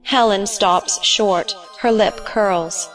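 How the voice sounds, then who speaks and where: Woman narrating stage directions, mid pitch. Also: fairly even intonation, takes it slowly, a bit bright, soft background music, poor audio. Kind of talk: scripted narration.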